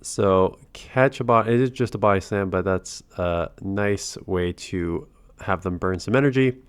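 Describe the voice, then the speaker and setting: A man's voice: low voice, a little slow, clear, resonant tone, no echo. Nasal, congested man talking through a match. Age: adult father. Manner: thinks aloud, breaks off and restarts. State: sick with a cold. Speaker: gaming channel host. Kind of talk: casual battle commentary.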